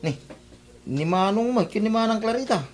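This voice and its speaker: A woman's voice: mid-range voice, expressive, moderate pace, a little mellow, close to an everyday voice. A woman with an Ilocano accent playing a gossipy, disapproving in-law in a comedy radio drama.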